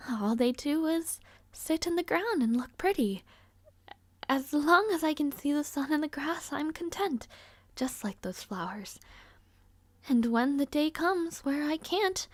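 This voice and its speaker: Woman acting a soft, high-voiced, languid princess. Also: no echo. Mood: wistful, resigned. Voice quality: soft, breathy, often trailing into whisper. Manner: languid, genteel, sighing. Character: lonely, sickly young princess, genteel, resigned and wry. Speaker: roleplay voice actress. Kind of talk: intimate audio roleplay acting.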